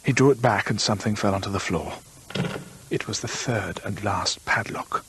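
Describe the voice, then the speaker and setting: Man playing gentleman storyteller, low pitch, grave, measured, literary, radio drama narration, slightly hissy recording. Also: lightly acted. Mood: suspenseful. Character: measured gentleman storyteller recounting another man's ghostly fate.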